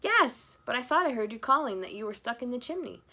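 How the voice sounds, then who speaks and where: A woman's voice: fairly high pitch, very animated, brisk, pitched above the performer's own voice, full character voice. Woman voicing indignant old grandpa bear. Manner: insistent, loud, exclaiming.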